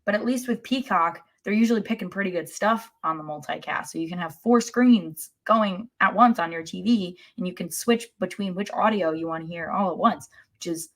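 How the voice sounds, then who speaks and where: A woman's voice: lowish voice, a little fast, a bit bright. An American woman co-hosting a sports podcast in casual chat, opinionated and wry, with emphatic turns.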